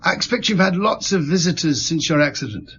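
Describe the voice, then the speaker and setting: Calm, husky police inspector. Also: male voice, a little high, highs cut off, heavily acted. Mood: gently probing. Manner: unhurried, reassuring questioning with thoughtful murmurs. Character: calm, gentle, probing male French police inspector. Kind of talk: radio drama acting.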